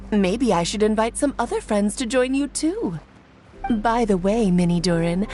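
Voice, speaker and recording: A woman's voice: on the high side, a little mellow. A woman playing an elegant, teasing, mysterious witch in a video game, poised and theatrical. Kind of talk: video game character acting.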